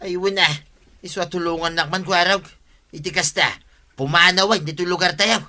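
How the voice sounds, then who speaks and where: A meddling villager in an Ilocano radio drama. His voice is sharp and a little high, and he talks bluntly. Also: steady tone, moderate pace. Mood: scheming.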